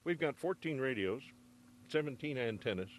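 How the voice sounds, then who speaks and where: A man's voice: medium pitch, animated, moderate pace, slight background noise. An older man giving plain, matter-of-fact technical answers in a TV news interview, speaking into a reporter's handheld mic. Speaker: ham radio operator interviewee. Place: home radio room, then parked truck.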